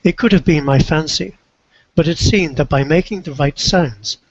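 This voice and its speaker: A man reading a first-person literary narrative aloud in a measured, reflective storytelling way, with a husky voice. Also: a little high, no echo, dull audio. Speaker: co-reader of a book.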